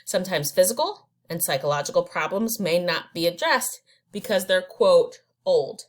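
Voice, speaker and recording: A woman's voice: fairly low pitch, expressive, moderate pace. A woman teaches from her slides. She keeps it conversational and unpolished, with fillers and restarts between her points. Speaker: instructor. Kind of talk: recorded slide lecture.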